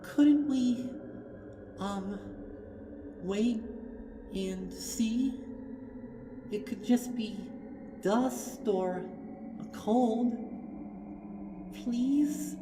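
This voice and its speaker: A man voicing a timid young female pegasus pony who pleads haltingly, in a horror fan fiction reading. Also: extremely high pitch, roomy sound, background noise, pitched above the performer's own voice, full character voice. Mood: timid pleading.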